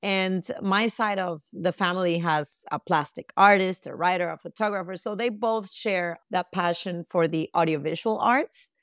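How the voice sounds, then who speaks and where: A middle-aged woman from Costa Rica speaks as an interview guest in a slightly low register. The sound is dry and close, and she answers in a conversational way. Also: moderate pace, few pauses, dry recording.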